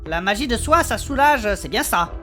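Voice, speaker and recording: A woman's voice: a little high, expressive, normal speed, a bit bright. Woman doing a cheeky smart little dude, super swingy delivery, fantasy audio comedy, bit of music. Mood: reasoning. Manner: emphatic, cheeky, reasoning aloud. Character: small, cheeky, clever male adventurer. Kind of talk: comic fantasy audio drama acting.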